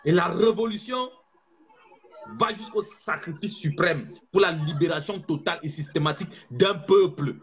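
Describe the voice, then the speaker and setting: A man giving an impassioned political speech as an activist, fervent and preaching, stressing slow phrases. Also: fairly high pitch, animated, a bit bright.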